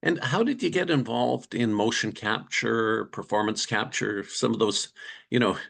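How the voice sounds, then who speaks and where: Gravelly bright male interviewer. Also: medium pitch, a little slow. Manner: friendly, prepared questions, some ums. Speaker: interviewer, editor. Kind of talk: interview hosting.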